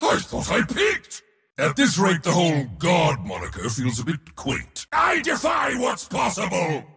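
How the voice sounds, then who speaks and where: Proud warrior god, video game hero, gravelly shouted commands, hugely animated pitch swings, pompous and theatrical. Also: male voice, measured pace, long reverb tail, exaggerated voice. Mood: boastful.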